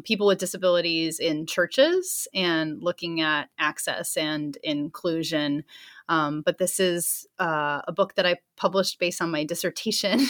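A woman in her thirties, an anthropologist speaking as a guest in an interview, thoughtful and warm, with frequent soft laughs and fillers. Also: on the low side. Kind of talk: interview answers.

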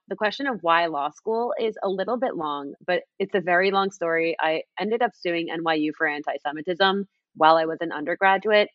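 A woman's voice: fairly low pitch, no room sound, highs cut off. A woman in her twenties from Mexico, raised in New Jersey, telling her story as a podcast guest with frequent ums. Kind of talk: casual interview chat.

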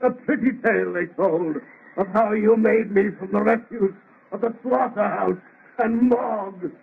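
A man playing a lonely, bitter man-made monster, rough-voiced, declaiming in anguished theatrical 1930s radio horror style. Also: high-pitched, a little slow, heavily acted. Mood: bitter reproach. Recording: muffled, lo-fi vintage radio recording.

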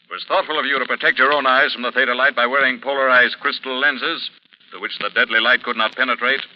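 An actor playing a sinister, mocking invisible avenger with a commanding, deliberate manner, in 1940s radio drama style. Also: male voice, mid-range voice, steady tone, slight background noise, natural voice. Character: menacing, mocking invisible male crime-fighter avenger. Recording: muffled old radio with music behind.

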